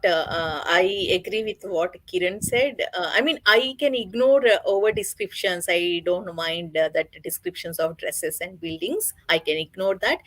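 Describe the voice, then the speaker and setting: A woman giving her views casually in a group book discussion, with halting phrasing. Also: fairly low pitch, a bit bright, dry recording, rough recording.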